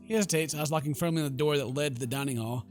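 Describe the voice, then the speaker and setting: Male gaming YouTuber, somewhat high voice, joking narration with faint music behind. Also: racing. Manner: goofy, slangy. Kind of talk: let's play reading and joking commentary.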